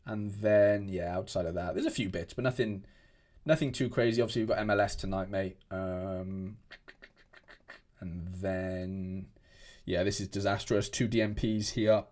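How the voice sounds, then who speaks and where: A man's voice: on the low side, normal speed, darkish tone. Chatty young Welsh-raised man streaming. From Wales. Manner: chatty, rambling, frequent restarts and fillers. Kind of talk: casual livestream chat reading viewer comments. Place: indoor home room at a desk.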